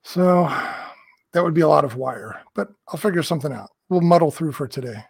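A man with a slightly higher, husky voice, talking easygoing from a basement workshop at home. He thinks out loud and puts questions to the listeners. The talk is loose and friendly. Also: expressive, brisk. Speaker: podcast co-host. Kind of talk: casual hobby podcast chat.

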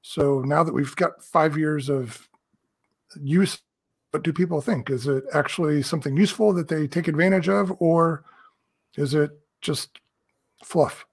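A man with a slightly higher, husky voice, talking easygoing from a basement workshop at home. He thinks out loud and puts questions to the listeners. The talk is loose and friendly. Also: unhurried. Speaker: podcast co-host. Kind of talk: casual hobby podcast chat.

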